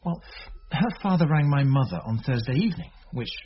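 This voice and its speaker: An actor playing a defensive but respectful teenage schoolboy in a radio drama, speaking hesitantly and earnestly. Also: male voice, mid-range voice, lively intonation, a little fast, natural voice. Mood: defensive, uneasy. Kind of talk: naturalistic radio drama dialogue. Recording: dull radio recording, faint noise.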